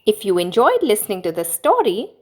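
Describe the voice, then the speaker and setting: A woman reading a children's story aloud in an expressive, deliberate and instructive way, as host and narrator. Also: mid-range voice.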